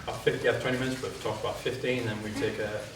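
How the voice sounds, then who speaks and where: A man's voice: mid-range voice, fairly even intonation, very fast. A man hosting a conference who introduces the speakers hesitantly, with many fillers. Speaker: conference host. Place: conference hall. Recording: very echoey hall, distant mic, noisy.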